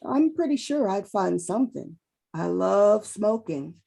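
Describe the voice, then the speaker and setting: A woman's voice: mid-range voice, animated, measured pace, vocal fry, mellow. A woman playing a reserved, polite middle-aged male busboy in an amateur drama table read, plainspoken and understated.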